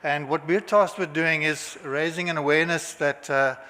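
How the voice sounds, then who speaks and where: A South African man presents at a conference in a hotel hall, his fairly high voice trailing into long reverb. He talks haltingly, stopping often on filler sounds and restarting his phrases. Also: fairly even intonation, sharp tone. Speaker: conference speaker.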